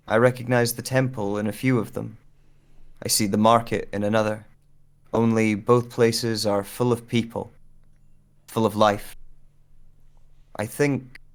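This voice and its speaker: A man playing a wistful amnesiac in an audio drama monologue, speaking hesitantly and deliberately with pauses. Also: on the low side. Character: wistful amnesiac man searching a room of photographs.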